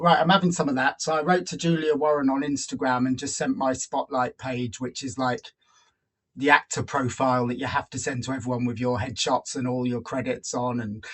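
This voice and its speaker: A man speaking as an interview guest, a voice actor chatting casually and pausing to find his words. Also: medium pitch.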